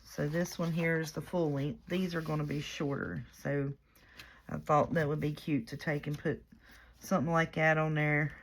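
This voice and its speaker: A hoarse woman, chatting casually and rambling softly as she works through a crafting project. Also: low-pitched, flat delivery, normal speed, slight background noise, low-quality recording. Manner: soft-spoken and rambling. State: hoarse from a cold. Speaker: craft vlogger.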